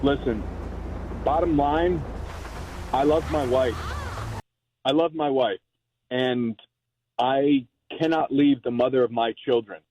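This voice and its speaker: A man talking in a phone conversation in a halting way, often repeating his words. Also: medium pitch, fairly even intonation, a little mellow, breathy edge, dry recording, poor audio. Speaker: prank call target.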